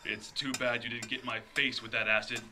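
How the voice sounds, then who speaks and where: An actor playing a taunting, fearless mystery avenger in a radio play, confident and dramatic. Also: male voice, mid-range voice, little pitch movement, fairly quick, background noise, lightly acted.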